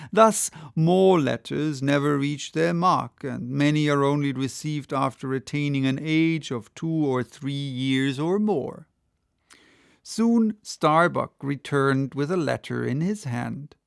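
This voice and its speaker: A man reading classic literature as an audiobook narrator, with measured, dramatic storytelling. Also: mid-range voice, gravelly, clean tone, dry recording, clean studio sound.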